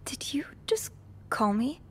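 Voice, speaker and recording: A woman voicing a gentle, curious hotel maid turned amateur sleuth in a mystery video game. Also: sits high, sing-song, takes it slowly, in a whisper, exaggerated voice. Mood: uneasy.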